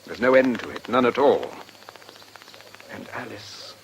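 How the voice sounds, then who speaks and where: An actor playing a polite, precise, secretly troubled English gentleman in a radio drama, courteous and a little hesitant. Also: medium pitch, animated, measured pace, gravelly, slight background noise, rough recording. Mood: preoccupied, troubled.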